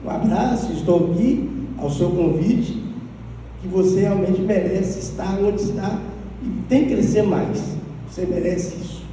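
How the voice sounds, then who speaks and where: A man from the audience speaking in halting sentences with frequent tag questions. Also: high-pitched, warm tone, a little hiss or hum. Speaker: audience member. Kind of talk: public tribute from the audience. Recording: distant mic in reverberant hall.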